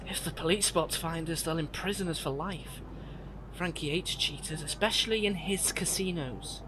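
A frank, anxious young fugitive played by a man in an audio drama. His voice is on the high side, and the recording is clearly noisy. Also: normal speed, close to an everyday voice. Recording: clear background noise.

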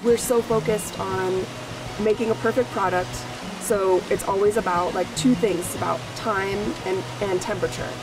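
A woman's voice: mid-range voice, fairly even intonation. A woman chef speaking casually in an interview soundbite, dropping in frequent likes. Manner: casual, uses "like", quickening. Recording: distant mic with music.

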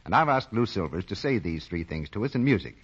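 An American man announcing and pitching for a sponsor in 1940s radio style. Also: very animated, quick pace. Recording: muffled vintage radio broadcast.

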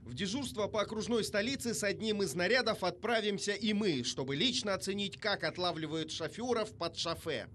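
A man narrates a television news report in a fairly high voice. His delivery is scripted and polished, with a wry, ironic edge. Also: fairly quick, hardly any pauses, a bit bright, a little hiss or hum.

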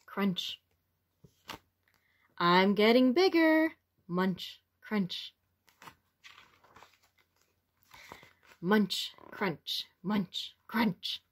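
A cheerful little storybook caterpillar as read by a woman. The delivery is slow and very expressive, with lively swings in pitch and simple wording for small children. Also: exaggerated voice. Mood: eager. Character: cheerful young caterpillar becoming a butterfly, storybook narrator.

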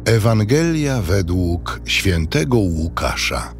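A man narrates a biblical radio drama with solemn care. His voice is low and slow, over clear music. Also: big pitch swings. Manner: solemn, measured.

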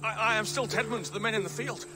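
Casting: male actor as craven monk informer, very high voice, stuttering, pleading, game drama under loud music. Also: fairly even intonation, fast talker, natural voice. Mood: panicked pleading. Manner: stammering, panicked, pleading. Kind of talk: video game cutscene acting.

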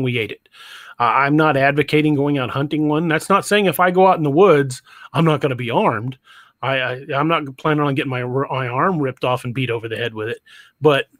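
A middle-aged man with a twang hosts a loose livestream talk, tripping over his own words before he lands a thought. His voice sits at an everyday pitch and sounds dry and close, with no room around it. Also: moderate pace, a bit bright, no echo. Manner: stammering restarts, chatty and joking. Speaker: podcast host. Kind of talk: casual livestream chat. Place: home room.

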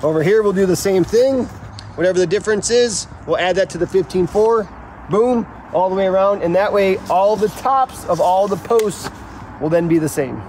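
A man's voice: sits high, normal speed, darkish tone. A middle-aged man explaining construction steps casually, with slangy, joking banter, outdoors on a building site. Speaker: builder and video co-host. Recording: noisy outdoor site sound.

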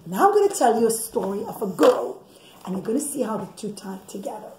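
Middle aged mom doing storytime for kids, high voice, explains stuff as she goes, roomy echo, bit of noise. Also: very animated, quick pace, warm tone. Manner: explanatory, addresses listeners as children, acts out characters' thoughts. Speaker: mother telling children a bedtime story. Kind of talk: animated storytelling to children. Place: indoors, living or dining room at home. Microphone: camera or phone on the table, about a metre away. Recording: echoey room, background noise, clicks.

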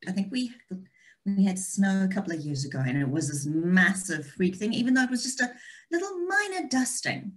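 A woman's voice: medium pitch, sing-song, a little fast. Female author guest, playful and wry, casual video-call conversation. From South Africa and Austria. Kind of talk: casual chat.

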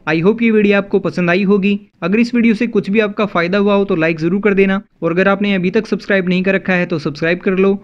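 A man's voice: high voice, steady tone, quick pace, few pauses. An Indian man narrating a scripted video as a motovlog host, addressing viewers as friends in a dull, slightly lo-fi voice-over.

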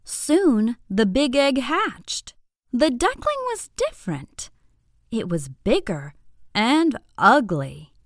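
Lady reading a kids bedtime story, takes it really slow, very up and down expressive voice. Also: fairly high pitch, no echo, clean studio sound. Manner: expressive, deliberate, clearly phrased delivery for young children. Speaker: children's storyteller. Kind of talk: scripted bedtime story read-aloud.

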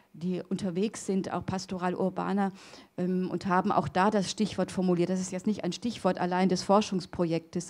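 A middle-aged woman giving an academic lecture in a hall on a handheld microphone, pausing often with fillers and restarts. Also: on the low side, warm tone. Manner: hesitant, many fillers and restarts. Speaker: theology lecturer.

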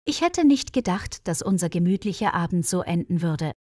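A woman voicing a friendly, sociable female nurse in a storybook, with earnest, clear delivery. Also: lowish voice, very animated, moderate pace, a little mellow, no echo, clean studio sound. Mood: relieved. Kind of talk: storybook dialogue reading.

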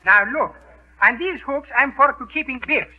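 An actor playing a Greek restaurant owner with mangled broken English in 1930s radio comedy. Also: male voice, very high voice, moderate pace. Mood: earnest, helpful. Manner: mangled grammar, malapropisms. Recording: tinny old 1930s radio recording.

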